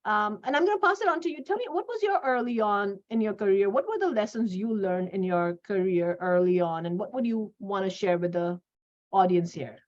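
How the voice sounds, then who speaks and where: A middle-aged woman hosting a conversation, sharing career advice in a halting way and repeating phrases for emphasis. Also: mid-range voice, animated. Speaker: host. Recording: dull lo-fi audio.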